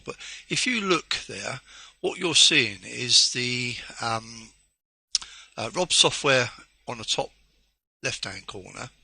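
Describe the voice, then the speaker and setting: Guy on a ham radio net, gravelly and really bright, dry sound, walks through tech stuff slowly. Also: mid-range voice, no echo. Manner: halting, explains technical details step by step. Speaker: amateur radio operator on a weekly net. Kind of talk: casual over-the-air chat.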